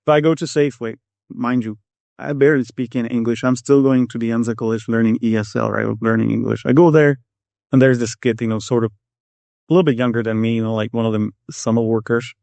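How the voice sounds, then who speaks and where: A middle-aged Czech man with a Czech accent, a guest in an interview, telling a story in a rambling, animated way and acting out what people said. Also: medium pitch, quick pace, creaky, dry recording. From Czech Republic. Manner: rambling, animated, acts out quotes. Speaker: guest, Air Force master sergeant.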